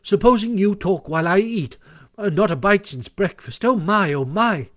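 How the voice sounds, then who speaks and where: A man voicing an earnest, warm-hearted mole in a storybook, speaking in an exclamatory way. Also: high voice, expressive, normal speed, dry recording, clean studio sound, voice pushed up. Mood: eager. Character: eager, warm-hearted, easily impressed male mole. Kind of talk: storybook character voice.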